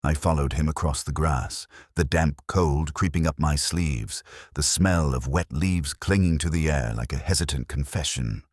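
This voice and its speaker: Very deep-voiced man narrating a detective story. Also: dry recording, studio quality. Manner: measured, reflective, literary delivery. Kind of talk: scripted first-person literary narration.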